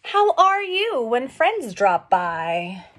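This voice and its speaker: A middle-aged woman reading a picture book aloud to young children, expressive and questioning, explaining things simply as she goes. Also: fairly high pitch, very slow, a little hiss or hum. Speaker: read-along storyteller for young children. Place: small room at home.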